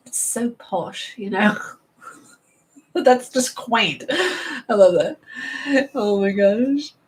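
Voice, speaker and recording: A woman's voice: on the high side, lively intonation, extremely slow, low-quality recording. Laughing, exclaiming young woman host. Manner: laughs often, exclaims in amazement; repeats herself for emphasis. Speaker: channel host. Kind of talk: casual chat.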